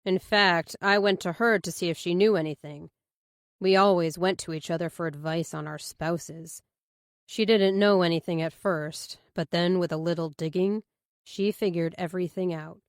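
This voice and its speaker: A woman's voice: on the low side, steady tone, normal speed, no room sound, studio quality, natural voice. A woman voicing an angry, bitter, threatening man in an audiobook, curt and defiant. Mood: bitter, confessing. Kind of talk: audiobook character dialogue.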